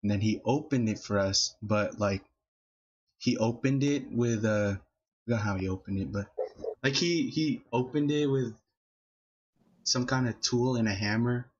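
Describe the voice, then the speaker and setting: A man's voice: mid-range voice, a little slow, pauses often, husky. A young man hosting a podcast, telling a rambling childhood story with many fillers and laughing as he goes. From Filipino family, California. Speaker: podcast host. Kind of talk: casual storytelling chat. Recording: dull lo-fi podcast audio.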